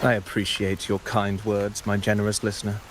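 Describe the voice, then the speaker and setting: An actor playing a humble male storyteller poet in a video game, speaking in a measured, poetic, declamatory way. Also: lowish voice, noisy background, close to an everyday voice. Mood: solemn. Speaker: game character. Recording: game audio with loud rain. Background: steady rain.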